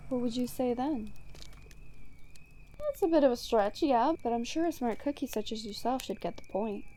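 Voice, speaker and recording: A woman's voice: fairly high pitch, lively intonation, mellow. A woman playing a taunting, seductive supervillain in an ASMR roleplay monologue, mocking and theatrical. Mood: smug, taunting. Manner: mocking, teasing, theatrical, unhurried, breaking into whispers. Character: taunting female supervillain, smug, seductive, bitter toward heroes.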